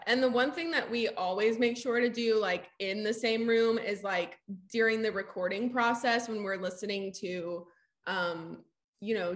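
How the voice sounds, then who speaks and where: A woman's voice: medium pitch, fairly bright tone. A woman chats casually in an interview as a singer, with a soulful, gritty voice and informal phrasing full of "like". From Southeast Texas, US. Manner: informal, frequent "like". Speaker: singer-songwriter in a sister duo.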